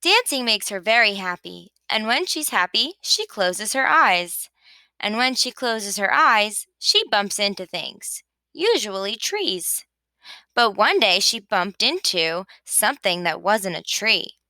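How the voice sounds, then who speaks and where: Woman, storybook narrator, expressive, unhurried pace, highish bright voice, dry recording. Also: dry recording. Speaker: storybook reader. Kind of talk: expressive children's read-aloud narration.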